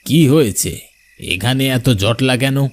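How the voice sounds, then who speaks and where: A man playing a respected village schoolteacher in a cartoon horror story, calm and reasoning. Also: mid-range voice, slow, natural voice. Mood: questioning.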